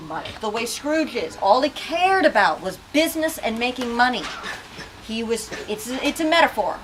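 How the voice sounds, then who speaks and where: A woman leading a lively book talk in a bookstore, asking questions and switching into dramatic readings. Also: on the high side, moderate pace. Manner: animated, asks questions, shifts into dramatic reading. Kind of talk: dramatic reading and discussion with children. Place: bookstore event space. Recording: distant mic, noisy room.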